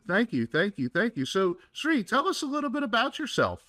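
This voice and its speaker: A man's voice: high-pitched, huge pitch swings, moderate pace, sharp tone, dry recording. A man hosting a podcast, upbeat and enthusiastic as he talks with his guest. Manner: upbeat, enthusiastic, laughs easily. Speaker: podcast host. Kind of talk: casual interview hosting.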